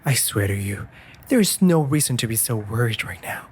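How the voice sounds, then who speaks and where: A woman's voice: rumbling low, animated, moderate pace, slightly rough, full character voice. A woman voicing a sassy, exasperated but devoted raven familiar in a fantasy audio drama, scolding theatrically in formal phrasing. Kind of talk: scripted roleplay audio drama addressed to listener.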